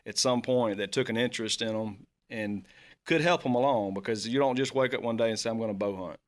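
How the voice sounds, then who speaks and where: A man's voice: medium pitch, brisk. A man, explaining his bow hunting association's views in an earnest way.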